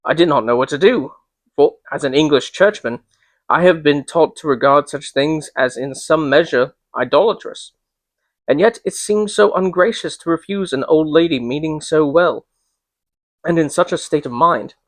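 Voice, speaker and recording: A man with a fairly high voice hosts a literary reading. He is measured and informal, a little hesitant, and the recording is dry and close with little room sound. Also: dry recording. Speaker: reader and host. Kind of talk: dramatic literary reading with casual commentary.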